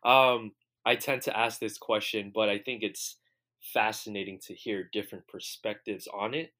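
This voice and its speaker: Male host, slightly low voice, enthusiastic and encouraging, long wandering questions. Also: steady tone, normal speed, sharp tone. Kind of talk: casual interview conversation.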